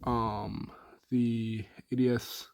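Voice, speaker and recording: A young gamer talks through his loadouts in a hoarse, worn voice, speaking slowly with a flat, even tone. He trails off often and fills pauses with "um". The sound is dry and close, with no room around it. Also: mid-range voice, dry recording. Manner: laid-back, filler-heavy, trailing off. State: hoarse, soft on mic. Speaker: gaming YouTuber. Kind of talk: casual weapon build rundown.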